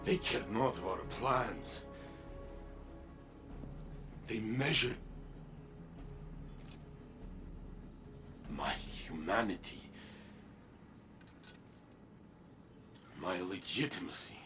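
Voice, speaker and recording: An actor playing a big, anguished robot man in a video game, brooding and halting as he doubts he is real. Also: fairly low pitch, sing-song, over music. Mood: shaken, troubled. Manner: halting, brooding, heavy-hearted. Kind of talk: dramatic video game voice acting.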